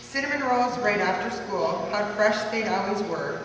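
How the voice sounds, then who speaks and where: A woman's voice: medium pitch, animated, normal speed, a bit bright. A woman reading a rhyming life story aloud in a sing-song way at a family gathering. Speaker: family member reading a verse tribute. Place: large echoey room at a party gathering. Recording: echoey hall from far off, background noise.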